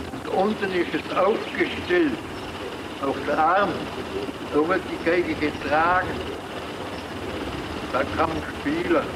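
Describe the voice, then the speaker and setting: A man explaining a homemade instrument in broken, halting phrases. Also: fairly high pitch, heavy background noise.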